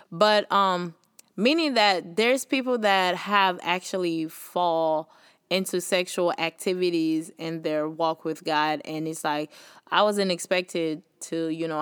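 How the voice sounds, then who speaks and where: A woman's voice: lowish voice, fairly bright tone, clean tone, no room sound, clean studio sound. A young woman with a Haitian accent hosting a faith podcast, talking casually and earnestly, encouraging listeners with many fillers. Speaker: podcast host.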